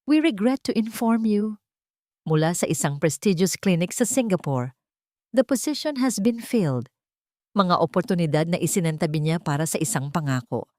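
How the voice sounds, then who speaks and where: A Filipino woman reads a dramatic love story as its narrator. Her voice sits slightly low for a woman. The recording is dry, with no room around it. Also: darkish tone, no echo.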